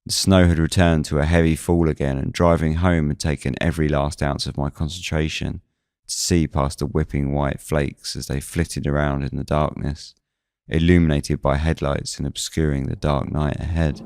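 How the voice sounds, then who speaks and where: Man, very low-pitched voice, suspense story narration, close dry recording. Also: flat delivery, moderate pace, a little mellow, no echo. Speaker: narrator of a fiction podcast. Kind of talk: scripted first-person suspense narration.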